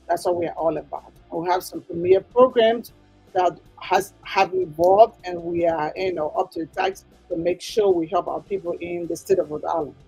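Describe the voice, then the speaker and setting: A woman's voice: mid-range voice, dark tone, slightly rough, poor audio. A woman with a Liberian accent, explaining things earnestly and emphatically as an interview guest. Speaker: interview guest, nonprofit executive director.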